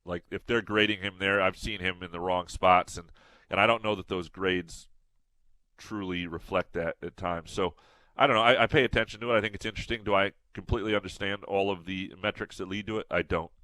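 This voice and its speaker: A man's voice: deep voice, fairly even intonation, brisk, bright, forceful tone, no echo, low-quality recording. A man co-hosting a sports podcast in casual chat, a little nasal, rambling with restarts and fillers. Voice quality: slightly nasal.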